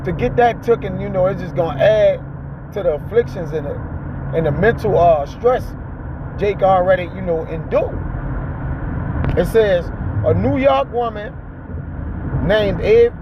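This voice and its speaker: A man's voice: high voice, unhurried, pauses often. A man hosting a ride-along talk, reading aloud and commenting in a colloquial, exhorting way with frequent checking tags, recorded inside a vehicle. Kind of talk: preachy casual commentary, reading an article aloud and riffing. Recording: muffled audio with loud car noise. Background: car and road noise.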